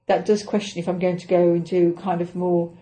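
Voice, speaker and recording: A woman's voice: on the low side, little pitch movement, brisk, warm tone, poor audio. A middle-aged English woman, an actress, talking through her week on a casual solo podcast in a chatty, self-deprecating way, thinking aloud as she goes. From England.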